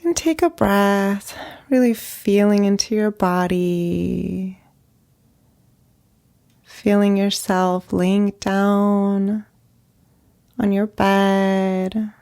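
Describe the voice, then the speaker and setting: A woman leading a guided meditation as a therapist, speaking gently in short phrases with long pauses. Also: mid-range voice, steady tone. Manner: slow, gentle, pausing between short phrases.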